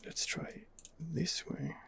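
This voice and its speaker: A man's voice: slow pace, pushed and bright. Whispering man doing ASMR game commentary. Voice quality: whispered, breathy. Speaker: gamer narrating his role-play playthrough.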